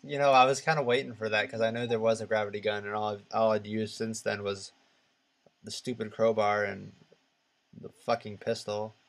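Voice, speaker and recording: A man co-hosting a casual gaming podcast, talking in a halting, rambling and opinionated way. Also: lowish voice, level tone. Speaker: podcast co-host.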